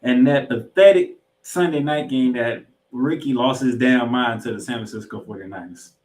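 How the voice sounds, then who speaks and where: A man's voice: medium pitch, normal speed, darkish tone. A man hosting a sports podcast, giving emphatic, opinionated commentary and game recaps. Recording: roomy, echoing voice pickup.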